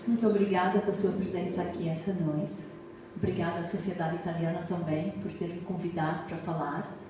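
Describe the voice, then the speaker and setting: A woman's voice: on the low side, fairly even intonation, a little fast, background noise. An American woman from Massachusetts with a Portuguese accent, English her first language, giving a chatty public lecture as a historian and checking in with the audience. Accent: Portuguese (Azorean family), American English. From United States, Massachusetts. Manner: warm, chatty, asks the audience questions, frequent "tá?" check-ins. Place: hall of a cultural society. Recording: echoey hall, mic far off.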